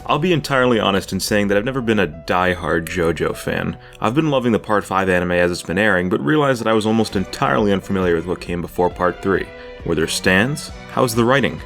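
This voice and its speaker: Male video essayist, lowish register, scripted narration, dryly wry, light music bed. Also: lively intonation, fast, vocal fry, fairly bright tone. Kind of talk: scripted retrospective narration with dry jokes.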